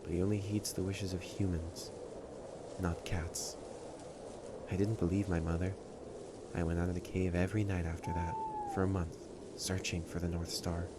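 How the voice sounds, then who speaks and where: A man playing a proud, prickly stray catboy who hides his loneliness, in an emotional audio roleplay with a haughty, halting delivery. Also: deep voice, flat delivery, very noisy, lightly acted. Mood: wistful storytelling. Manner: tsundere, haughty, defensive, halting.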